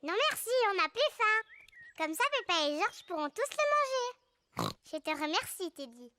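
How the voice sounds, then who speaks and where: A woman's voice: very high-pitched, sing-song, normal speed, noisy background. A woman voicing a cheerful, bossy little girl pig of about four in a French children's cartoon, childlike and playful, with music behind. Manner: childlike, playful, simple phrasing.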